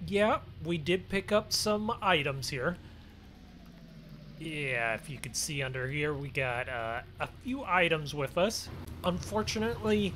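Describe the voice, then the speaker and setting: A man's voice: fairly high pitch, background noise. A man giving casual, folksy role-play commentary as host of a farming game video, often exclaiming as he goes. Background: game vehicle and ambience sounds.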